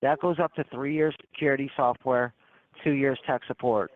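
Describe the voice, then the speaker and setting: A man's mid-pitched voice comes through a thin, tinny phone line. He runs a smooth, persistent sales script and keeps circling back to the same selling points. The talk is steady and practiced. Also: normal speed. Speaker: phone tech support salesman.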